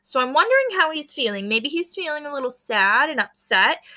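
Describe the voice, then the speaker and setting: A woman teacher reading a book aloud to fourth graders, pausing to explain and prompting them to notice and wonder. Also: high-pitched, very animated, bright, forceful tone. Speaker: elementary school teacher. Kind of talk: read-aloud lesson for fourth graders, explaining as she goes.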